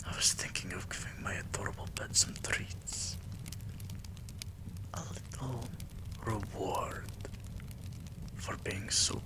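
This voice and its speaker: A man playing a doting, possessive vampire king in an intimate ASMR roleplay, breathy and soothing, coaxing with pet names. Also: sits low, slow pace, long pauses. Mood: whispered, indulgent. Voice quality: breathy, whispery.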